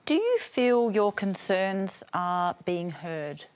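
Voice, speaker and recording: A woman's voice: mid-range voice, sing-song, slow, darkish tone. A woman hosting a studio discussion, putting direct questions to her guests. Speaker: host.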